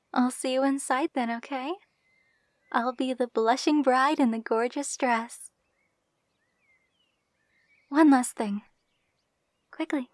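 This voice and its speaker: A woman's voice: high voice, no echo, studio quality. A woman playing an affectionate, playful young bride in an intimate ASMR roleplay, speaking tenderly with a giggly, teasing manner. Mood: intimate, loving.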